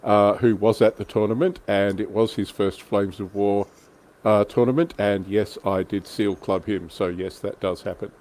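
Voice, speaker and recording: A man's voice: lowish voice, steady tone, moderate pace, a little mellow. A man hosting a livestream in casual chat, with a joking, self-deprecating and mildly sweary manner. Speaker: livestream host.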